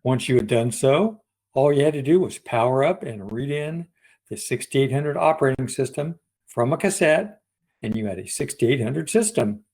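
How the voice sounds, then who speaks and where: A man reads aloud from a prepared audiobook script as its narrator. His voice has an average pitch for a man. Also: animated, normal speed, darkish tone. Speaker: audiobook reader.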